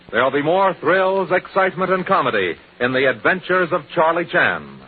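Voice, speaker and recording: Muffled vintage radio sound carries an American male announcer in forties style. His voice is husky and a little high, with very animated rise and fall in the scripted delivery. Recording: muffled old 1940s radio broadcast.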